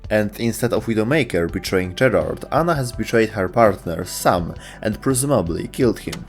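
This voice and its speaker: Lively-pitched man narrating game lore. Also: moderate pace. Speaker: video narrator. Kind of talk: scripted lore explainer narration. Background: music.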